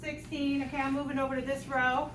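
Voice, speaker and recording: Playful high-voiced woman teacher, echoey classroom. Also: level tone, a little fast. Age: 50s. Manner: playful, jokey, talks through examples aloud. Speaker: math teacher. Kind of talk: classroom lecture. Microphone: distant room mic. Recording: echoey classroom, distant mic, noisy.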